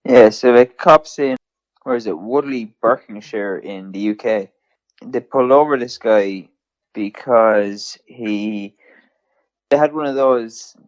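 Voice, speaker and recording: A man's voice: medium pitch, slow pace, highs cut off. A man co-hosting a podcast in casual, informal chat. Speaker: podcast co-host.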